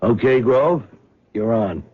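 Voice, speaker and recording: An actor playing a bullying gangster who poses as a police officer, in a 1970s radio drama, giving curt orders. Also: male voice, medium pitch, animated, slow pace, husky. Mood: menacing. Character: menacing male gangster posing as cop. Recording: dull old radio broadcast.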